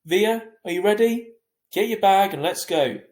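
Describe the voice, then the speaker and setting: Emphatic, exasperated dad read by a man, raised pitch. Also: expressive, measured pace, darkish tone, reverberant. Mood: affectionate, teasing. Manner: emphatic, insistent. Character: impatient, easygoing father, exasperated but affectionate.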